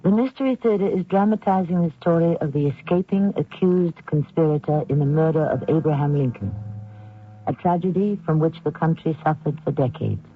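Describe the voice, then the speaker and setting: A male mystery-radio host with a slightly high-set voice narrates deliberately and theatrically. The broadcast sounds narrow and tinny, with faint music underneath. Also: lively intonation. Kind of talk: scripted, dramatic 1970s-style radio narration.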